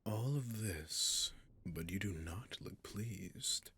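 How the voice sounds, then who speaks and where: Man voicing a deep, breathy, imperious dragon king. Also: expressive, sharp tone, heavily acted. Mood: cold, threatening. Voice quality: breathy, husky, often near whisper. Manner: slow, deliberate, haughty, archaic formal phrasing. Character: imperious, possessive male dragon king, menacing and seductive. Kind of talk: roleplay audio drama, addressing the listener.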